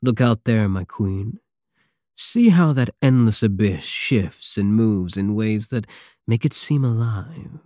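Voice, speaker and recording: A man's voice: fairly low pitch, expressive, slow, mellow, dry recording, clean studio sound. A man playing a swaggering, obsessive space pirate king in an intimate ASMR roleplay, flirtatious and menacing with a teasing, theatrical drawl. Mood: menacing, seductive. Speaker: audio roleplay voice actor.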